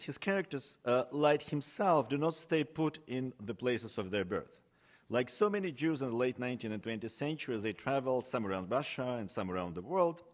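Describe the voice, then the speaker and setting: Male professor giving a halting academic lecture. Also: medium pitch. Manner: halting, with frequent hesitations. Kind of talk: academic lecture, partly read from notes.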